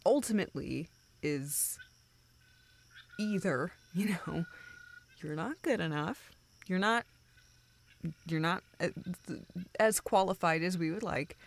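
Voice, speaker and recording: A woman playing a young woman in an intimate audio drama, with a lowish voice full of vocal fry. She talks slowly and haltingly to a close friend, joking at her own expense in a dry, deadpan way. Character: self-deprecating, dryly sarcastic young woman confiding in a close friend. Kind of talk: intimate audio roleplay, naturalistic acting.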